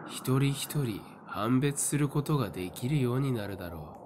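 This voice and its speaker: A man's voice: medium pitch, expressive, moderate pace, noisy background, lightly acted. A man acting a cynical young seer in an audio drama, nonchalant, flippant and coolly taunting. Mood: matter-of-fact.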